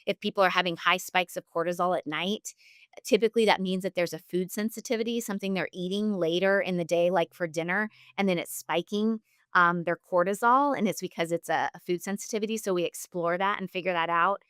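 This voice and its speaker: A woman's voice: on the low side, fairly quick, a bit bright, clean tone, no room sound, studio quality. A woman speaking as a health practitioner and interview guest, giving long, earnest, instructive explanations.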